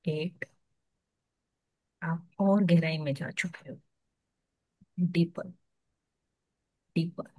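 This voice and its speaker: A woman with an Indian accent leading a guided meditation, soothing and hushed at the ends of phrases, leaving long pauses. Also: lowish voice, steady tone, dry recording, low-quality recording.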